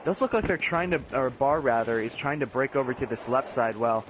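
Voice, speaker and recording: A man giving play-by-play commentary on an online match, talking fast with the odd chuckle. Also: mid-range voice, dull audio, noisy background. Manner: rapid, animated play-by-play with chuckles.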